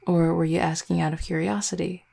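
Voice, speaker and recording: A woman playing an affectionate, gently teasing vampire girlfriend in an intimate roleplay audio, speaking tenderly and without hurry. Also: on the low side, flat delivery, fairly quick, gravelly. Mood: relaxed, affectionate. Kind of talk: intimate roleplay audio, soft conversational acting.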